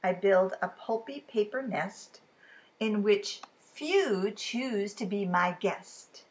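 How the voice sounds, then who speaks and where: A woman in her fifties reading riddle poems aloud for a children's storytime, expressive and deliberate, with chatty asides to the listeners. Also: mid-range voice. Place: living room at home, indoors. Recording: clearly noisy room recording.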